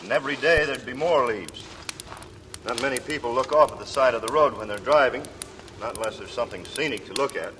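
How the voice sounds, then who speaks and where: An actor playing a weary, sardonic police detective, blunt and dry, in 1940s radio drama style. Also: male voice, mid-range voice, a little fast, pushed and bright, close to an everyday voice. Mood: grim. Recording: reverberant, noisy old radio recording.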